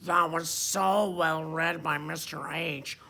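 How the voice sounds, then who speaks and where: Woman as a very creaky, wacky know-it-all. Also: low-pitched, steady tone, slow pace, sharp tone, exaggerated voice. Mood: earnest. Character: wacky, earnest, analytical kids' discussion character.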